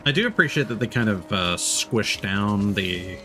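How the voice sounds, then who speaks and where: A man's voice: on the low side, lively intonation, normal speed, pushed and bright. A man streaming a game, giving casual, offhand commentary as he thinks aloud. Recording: game music behind the voice.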